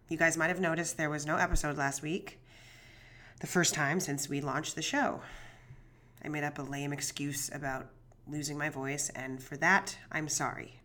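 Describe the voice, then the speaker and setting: A woman's voice: low voice, fairly bright tone. A woman playing an anxious rambling podcaster. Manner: chatty, rambling, swearing, self-deprecating. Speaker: true crime podcast host. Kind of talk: audio drama monologue.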